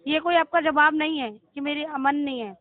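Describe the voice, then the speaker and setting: A woman's voice: high-pitched, steady tone, normal speed. An Indian woman working as a loan recovery agent, questioning insistently and confrontationally on a debt collection phone call. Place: on a phone line. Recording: tinny, narrowband phone call recording.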